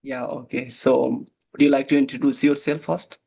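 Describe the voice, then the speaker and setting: A man's voice: mid-range voice, flat delivery, moderate pace, vocal fry, darkish tone, breathy edge. A young man, a guest in a casual talk, speaking hesitantly with many "like" and "uh" fillers. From Nepal. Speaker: guest, waiter. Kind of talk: casual conversation practice.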